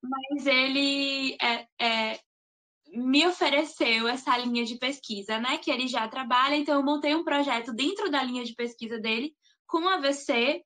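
A woman. Her voice sits high, and she talks in a loose, chatty way, often ending her sentences with tag questions. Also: normal speed, sharp tone. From Salvador, Bahia, Brazil. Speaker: guest, master's student. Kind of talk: interview answers.

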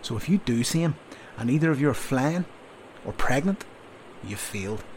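A man voicing a blustering, sarcastic army sergeant who lectures a young soldier in loud, drawn-out scolds. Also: mid-range voice, lively intonation, slight background noise, close to an everyday voice, poor audio. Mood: gruff orders. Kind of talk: audiobook character voice.

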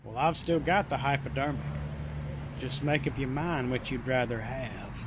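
A man's voice: medium pitch, normal speed, noisy background, natural voice. A man acting a coldly practical country killer in a 1940s-style radio drama, folksy, terse and threatening. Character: menacing, coldly practical rural male killer.

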